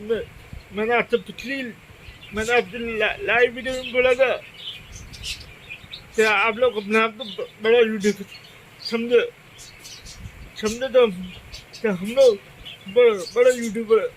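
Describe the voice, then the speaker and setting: High-voiced man, halting, slightly sore throat. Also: fairly even intonation, fairly bright tone, a little hiss or hum, rough recording. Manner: halting, with many restarts and repeated phrases. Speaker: channel host. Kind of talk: casual devotional vlog with blessings.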